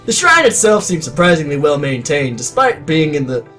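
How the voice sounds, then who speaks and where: Sarcastic, lively young man reading aloud. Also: fairly high pitch, normal speed, faint music behind. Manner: sarcastic, crude, self-mocking. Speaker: reader of a comedic readalong. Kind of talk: story narration with sarcastic ad-libs.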